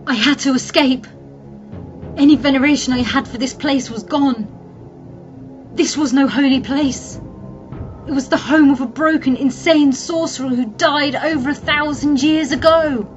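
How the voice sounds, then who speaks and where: Music runs under a slightly dull recording of a woman playing a human alchemist trapped and determined to survive. She narrates in the first person with grave, theatrical delivery and a fairly high pitch, in gothic fantasy audio drama style. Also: fairly even intonation, a little slow. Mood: grim dismay.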